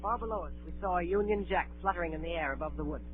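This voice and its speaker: A man's voice: sits high, very fast, soft background music, close to an everyday voice. An actor playing an earnest boy adventurer, telling his perilous tale in clipped, dramatic 1930s radio narration. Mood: grave. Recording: tinny 1930s radio broadcast.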